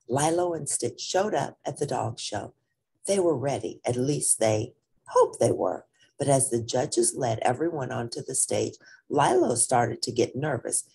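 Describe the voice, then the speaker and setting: Woman, children's storybook narrator, very low pitch, lively intonation, dramatic read-aloud, unhurried pace. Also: gravelly, husky.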